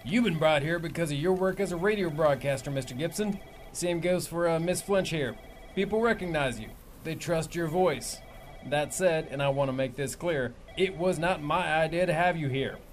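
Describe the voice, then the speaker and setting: Man playing a businesslike army major, curt, somewhat high voice, faint noise behind. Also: moderate pace, natural voice. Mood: firm. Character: brisk male army major in command. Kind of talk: audio drama acting.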